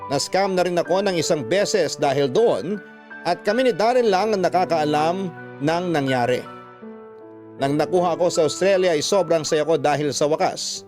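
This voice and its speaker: A man's voice: a little high, darkish tone. A man reading a listener's love story aloud in first person, earnest and storytelling, for a radio drama. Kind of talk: scripted radio-drama narration. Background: music.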